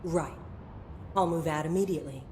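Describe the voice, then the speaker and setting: Actress as female commander, lowish voice, authoritative, brisk, guarded, game drama, faint rumble. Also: mellow, lightly acted. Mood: stern, businesslike. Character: stern, commanding female head of operations.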